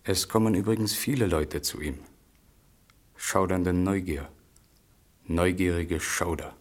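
An actor in a German radio play playing a sober, detached observer who reports in measured, clipped phrases. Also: male voice, sits low, no echo. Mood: hushed, detached.

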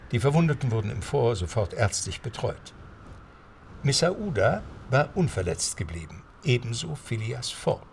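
A man's voice: on the low side, creaky, slight background noise. A man narrating a scripted adventure story as the narrator of an audio drama.

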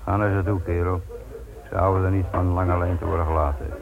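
Wry male police inspector, low-pitched and drily insolent, radio drama on a narrow, phone-like old recording. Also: level tone, creaky. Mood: firm, caring. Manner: dry, ironic, unhurried banter. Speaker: actor in a Dutch radio play.

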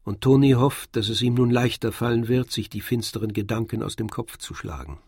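A man's voice: mid-range voice, fairly quick, a little mellow, breathy edge, no room sound, studio quality. A man reading scripted literary narration as the narrator of a radio drama. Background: violin and other music.

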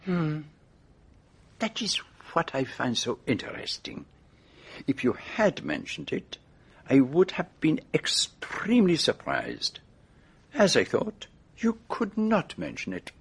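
Radio drama lead: courteous French-accented sleuth, highish husky voice, precise, slyly probing. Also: male voice, wildly swooping pitch, slow pace, full character voice. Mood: intrigued. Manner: formal, precise, gently probing. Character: courteous, shrewd foreign male detective, fussy and sly.

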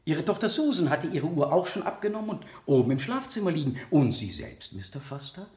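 Male examiner, radio drama. Methodical, insistent, courteous, with animated pitch in a roomy acoustic. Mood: insistent, pressing. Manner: deliberate, insistent, formally polite questioning. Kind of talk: 1970 German radio play acting.

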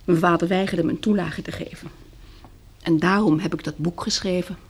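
Husky, poised young woman. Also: fairly low pitch, steady tone, brisk. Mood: reflective. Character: poised, frank young woman survivor, worldly and self-mocking. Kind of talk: radio drama acting, soft intimate dialogue.

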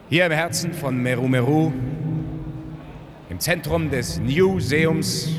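A man playing a messianic pop-star demagogue in a science-fiction radio play, declaiming pompously with theatrical pauses. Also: medium pitch. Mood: solemn, grandiose. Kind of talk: 1970s-90s science-fiction radio play acting, rally oratory. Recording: long hall reverb, noisy background.

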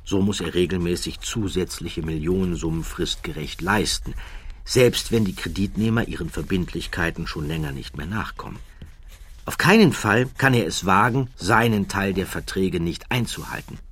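Deep, husky male audiobook narrator. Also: a bit bright. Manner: measured, dramatic storytelling with swells of emphasis.

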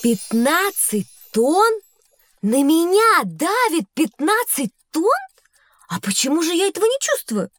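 A woman voicing a curious young girl pupil with an expressive, questioning delivery in an educational audio drama. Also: very high-pitched, unhurried, soft background music, full character voice. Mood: puzzled.

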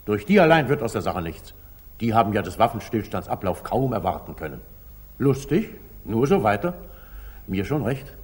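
An actor playing a generous, weary medieval sultan careless of money, in theatrical 1940s German radio drama style with a husky voice. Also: male voice, on the low side, sing-song, moderate pace. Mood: bitter, resigned. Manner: theatrical, declamatory. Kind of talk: 1940s German radio stage-classic acting.

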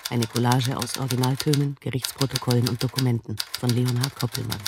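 A woman announcing a radio documentary in a crisp, formal manner. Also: extremely low pitch, flat delivery, fast talker, very noisy. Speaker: program announcer. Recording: typewriter clatter behind.

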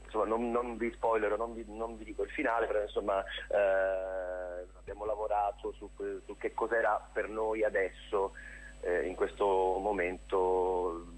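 A man giving a casual, unscripted interview as a theatre director, halting and often repeating his words. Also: lowish voice, little pitch movement, breathy edge, rough recording. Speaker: theatre director, radio guest.

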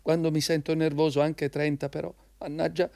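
An actor playing an anxious, servile employee in a radio drama, rambling and repeating himself obsequiously to please the boss. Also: male voice, on the high side, flat delivery, normal speed, vocal fry, dark tone. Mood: nervous, rambling.

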